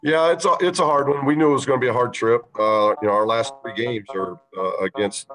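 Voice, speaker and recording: A man coaching a basketball team, answering reporters' questions after a game, measured and halting with many fillers. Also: medium pitch, steady tone, music in the background, poor audio. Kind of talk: post-game press conference answers.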